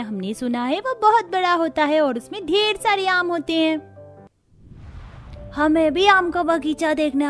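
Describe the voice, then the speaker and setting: A woman's voice: extremely high pitch, a bit bright. A woman voicing a whiny little girl who craves mangoes, pleading and insistent, in a cartoon dub. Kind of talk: cartoon dubbing.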